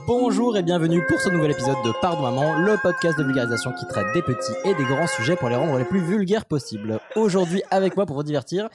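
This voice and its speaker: A hoarse man hosting a casual podcast, talking quickly and trailing off quietly. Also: on the high side, lively intonation, over loud music. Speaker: podcast host.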